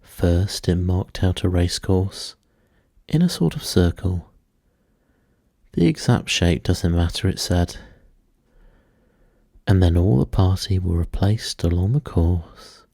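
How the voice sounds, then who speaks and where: A man narrates a bedtime storybook at a very unhurried pace. His voice is dark and mellow with a husky edge, and he keeps a hushed, measured, soothing delivery made for sleep. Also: low-pitched, no room sound, studio quality.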